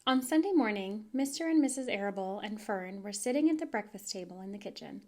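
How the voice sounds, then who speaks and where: A woman teacher reading a children's chapter book aloud in a clear, expressive storytelling manner. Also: medium pitch, fairly quick.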